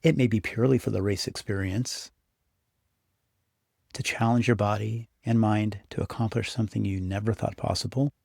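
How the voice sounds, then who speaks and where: A man with a low, gravelly voice leads a guided visualization in a close, dry recording. He addresses the listener directly, giving instructions and encouragement in an unhurried, scripted delivery. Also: fairly even intonation, a little mellow, dry recording. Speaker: meditation guide. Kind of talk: guided meditation, scripted narration.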